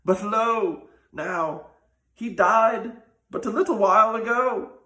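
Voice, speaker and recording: A man's voice: high voice, takes it slowly, sharp tone, voice pushed up, heavily acted. A man voicing a sorrowful, poor peasant widow in a plaintive manner for a folk tale. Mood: tearful, plaintive. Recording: roomy, echoing sound.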